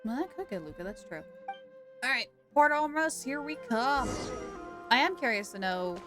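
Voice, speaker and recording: A young woman chats with her viewers while playing a game on a live stream, often breaking into giggles. Her voice is fairly high and lively, swooping up and down as she talks. Soft game music plays underneath. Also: moderate pace. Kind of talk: casual live-stream chat.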